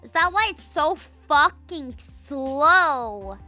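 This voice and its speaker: A woman's voice: sits high, expressive, takes it slowly, sharp tone, faint music behind. A young woman streaming, chatting casually and reading chat aloud, rambling and swearing, full of fillers and half-finished sentences. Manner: rambling, profane, filler-heavy, trails off mid-sentence. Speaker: VTuber streamer.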